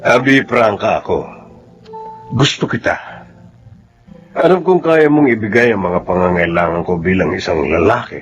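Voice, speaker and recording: An actor playing a rich, possessive older executive in a Filipino radio drama, blunt and menacing. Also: male voice, fairly low pitch, lively intonation, moderate pace, pauses often, soft background music, natural voice. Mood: smooth, wooing. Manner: domineering, blunt, threatening.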